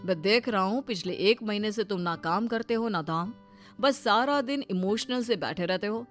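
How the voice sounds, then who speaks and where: An actor playing a stern, impatient office boss in a cartoon story, brisk and scolding. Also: male voice, sits high, a bit bright, faint music behind. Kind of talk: Hindi cartoon moral story acting.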